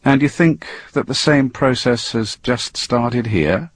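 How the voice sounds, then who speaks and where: Man acting wary astronaut, male, doubtful questions, husky voice, dry recording, audiobook character. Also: medium pitch, takes it slowly, no echo, pitched above the performer's own voice. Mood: musing.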